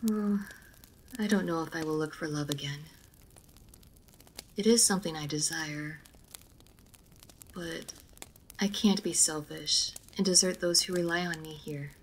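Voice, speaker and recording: Woman acting a low-voiced, reserved aristocratic vampire lady. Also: slight background noise, rough recording. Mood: somber, formal. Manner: formal, measured, guarded. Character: reserved aristocratic female vampire, formal and melancholy. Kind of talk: roleplay audio drama addressed to the listener.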